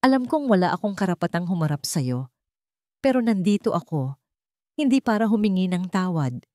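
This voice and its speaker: A woman's voice: on the low side, very animated, normal speed, dark tone, no room sound, clean studio sound. A woman voicing a remorseful wealthy man in a romance story, pleading and confessing dramatically.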